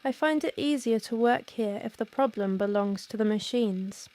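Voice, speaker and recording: A woman acting as a precise, rational researcher with a soft, mellow, fairly high voice. She gives formal, restrained testimony in the manner of found-audio horror. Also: normal speed, natural voice. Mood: controlled, uneasy. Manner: formal, careful, clinical, restrained.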